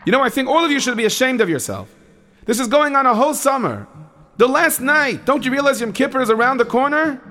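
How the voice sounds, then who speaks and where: An actor playing a stern but caring camp counselor who scolds the boys with authority in a children's audio drama. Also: male voice, high voice, big pitch swings, moderate pace, a bit bright, heavily acted. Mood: scolding.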